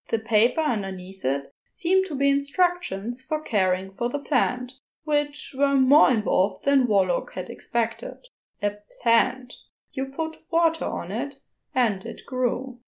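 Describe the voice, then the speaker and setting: Slow, high-voiced woman narrating fiction. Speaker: podfic narrator. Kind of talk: scripted story narration.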